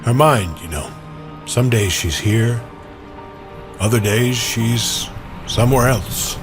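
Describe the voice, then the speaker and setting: A man's voice: mid-range voice, takes it slowly, music in the background, lightly acted. A man playing a kindly, worried old man in an audio drama, polite and plain-spoken. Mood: worried, wistful. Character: kindly worried elderly man, stranger searching for his wife. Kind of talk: audio drama character acting.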